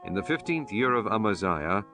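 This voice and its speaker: A man reading scripture as an audiobook narrator in a solemn, measured, formal manner. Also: lowish voice, very animated, music in the background.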